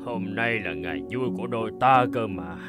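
An actor playing a burly, boastful, hot-tempered royal guard and bridegroom in a cartoon folk tale, emphatic and demanding. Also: male voice, lowish voice, normal speed, over loud music, close to an everyday voice. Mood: puzzled. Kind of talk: animated folk-tale dialogue.

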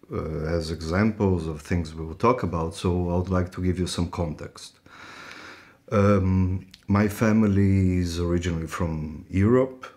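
Middle-aged man, low voice, very slow, deliberate pauses, lecturer from Brazil, personal introduction. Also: darkish tone. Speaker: university lecturer. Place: furnished room indoors, sitting.

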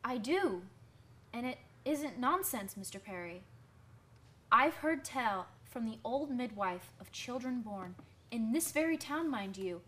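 A proud village laundress steeped in superstition for a radio drama. Her voice is a little high and bright, with a forceful edge. Her speech is folksy, emphatic and scolding. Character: superstitious, indignant village washerwoman, proud and sharp-tongued.

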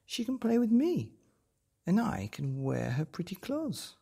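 A man's voice: high-pitched, huge pitch swings, unhurried, vocal fry, warm tone, breathy edge, voice pushed up, exaggerated voice. A man voicing a bold young robber's daughter in a storybook reading. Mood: pleading.

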